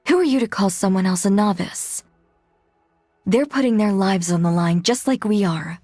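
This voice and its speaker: A woman's voice: mid-range voice, moderate pace, darkish tone, no echo, clean studio sound, faint music behind, natural voice. A woman playing an earnest young female android machine gunner in a video game, loyal and protective, with a direct way of speaking. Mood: stern. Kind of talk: English video game voice acting.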